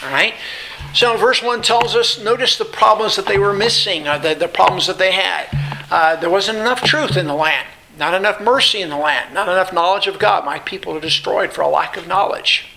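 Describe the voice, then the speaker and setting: A man's voice: high-pitched, brisk, creaky, noisy background. A man preaching an informal Bible lesson in a didactic, conversational way, posing questions and answering them, repeating phrases for emphasis. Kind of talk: informal expository sermon.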